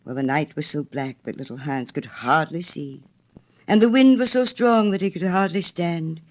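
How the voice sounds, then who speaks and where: A woman's voice: low voice, normal speed. A woman reading a literary story aloud in a theatrical manner, with dramatic phrasing. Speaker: storyteller, reader. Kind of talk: expressive literary storybook narration.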